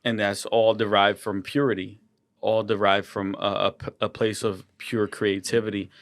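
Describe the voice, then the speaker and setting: A man's voice: on the low side, level tone, normal speed, no room sound, clean studio sound. A young man, a guest artist, talking earnestly in a casual interview, often restarting and repeating words. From Colombian and Ecuadorian heritage.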